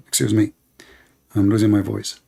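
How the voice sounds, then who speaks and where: A man's voice: on the low side, slow pace, gravelly, warm tone, breathy edge. A man giving emphatic preaching commentary to his viewers, declaiming and repeating lines for emphasis. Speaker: online religious debater.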